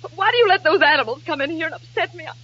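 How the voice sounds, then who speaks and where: A melodramatic amateur actress, high and bright. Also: female voice, animated, racing. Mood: hurt, tearful. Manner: declamatory, overwrought. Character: melodramatic, easily wounded amateur actress playing a grand lady. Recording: dull, noisy old broadcast recording.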